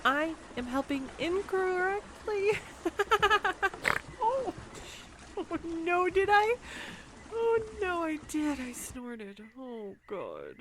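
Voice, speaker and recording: Slow-paced, clumsy, self-deprecating girlfriend, acted by a woman. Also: very high-pitched, wildly swooping pitch, background noise, heavily acted. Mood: flustered, giggly. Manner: flustered, self-deprecating, chatty with bursts of laughter. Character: clumsy, giggly, self-deprecating girlfriend on a swan boat date. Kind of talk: roleplay audio drama acting, intimate one-sided conversation.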